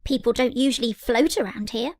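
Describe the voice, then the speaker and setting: A woman's voice: a little high, a little fast, no room sound, studio quality, close to an everyday voice. A woman voicing a curious, eager young male piglet in a children's story, earnest in manner. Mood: wondering.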